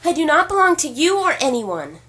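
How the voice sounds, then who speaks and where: A woman's voice: high-pitched, sing-song, a little fast, fairly bright tone. A woman playing a stubborn, guarded young woman in an audio drama, curt and defensive. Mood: defiant.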